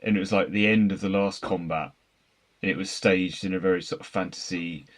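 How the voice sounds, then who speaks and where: A man with a low, deep voice takes part in a film discussion. His delivery is conversational, as a panelist in a casual review chat. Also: steady tone, fairly quick.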